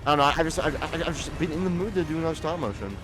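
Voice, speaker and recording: A man's voice: on the high side, expressive, rapid-fire, over music. A young man chatting casually while streaming a video game, rambling and often stuttering over the starts of his sentences. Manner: rambling, repeats words when starting sentences. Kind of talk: casual live-stream chat.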